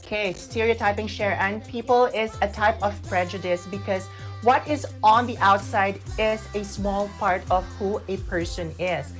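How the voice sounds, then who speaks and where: A woman's voice: mid-range voice, normal speed. A woman with a Filipino accent co-hosting an online radio show, explaining things casually and emphatically. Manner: emphatic, mixing in Tagalog. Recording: music playing behind the voice. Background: background music.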